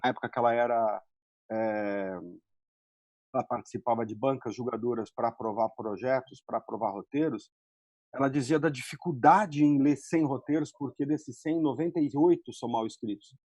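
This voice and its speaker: A man with a Brazilian accent speaks in a casual, colloquial way as a screenwriter on a panel. His pitch is average for a man, and the sound is dry, with no room echo. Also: normal speed, a little mellow.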